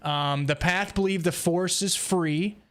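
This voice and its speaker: A man's voice: sits high, unhurried, a bit bright. A man hosting a roundtable, talking casually through discussion points with fellow fans. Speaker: podcast host leading a roundtable.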